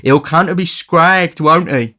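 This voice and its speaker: A man's voice: a little high, unhurried, pitched above the performer's own voice. A man doing a jolly slangy boy thief. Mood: gleeful. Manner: loud, jokey, slangy. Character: jolly boy pickpocket, easily laughing.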